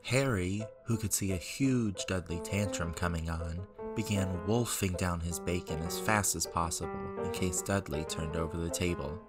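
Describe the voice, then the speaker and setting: A man's voice: low voice, moderate pace, hardly any pauses, over loud music. A man reading a story aloud as narrator, in scripted storybook style. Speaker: narrator, amateur audiobook reader.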